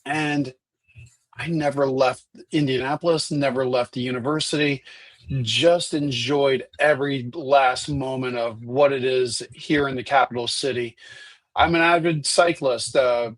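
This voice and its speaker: Stuffy, husky man in his fifties. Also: medium pitch, fairly even intonation, unhurried, no echo. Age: 50s. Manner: chatty, restarts and repeats words. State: stuffy from allergies. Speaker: state senate candidate. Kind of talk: virtual town hall answers. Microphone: video call.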